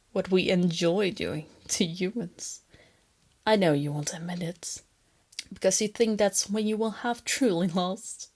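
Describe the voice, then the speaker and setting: A soft, husky lamia captor, played by a woman speaks in a lowish, breathy voice that often slips toward a whisper. She is smugly dominant and condescending, yet possessively tender, in an intimate ASMR monster-girl roleplay.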